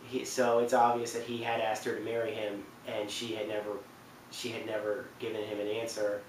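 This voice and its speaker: Video presenter: man, twenties, casual halting commentary, roomy distant recording with faint noise. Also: lowish voice, completely flat delivery. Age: 20s. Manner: halting, rambling. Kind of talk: casual unscripted countdown commentary. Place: living room couch indoors. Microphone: camera mic at a distance.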